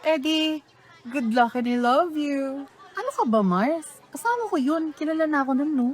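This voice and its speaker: A woman's voice: high-pitched, animated, moderate pace. A woman with a Filipino accent playing a doting but careless, teasing mother in a radio drama. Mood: playful boasting. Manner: chatty, playful, nagging. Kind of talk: radio drama acting in casual family banter.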